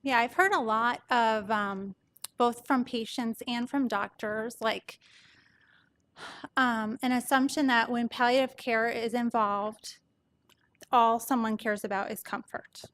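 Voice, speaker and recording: A soft-voiced woman doctor gives an informal medical presentation to an audience, explaining things conversationally. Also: on the high side, steady tone, takes it slowly. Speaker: palliative care doctor presenting.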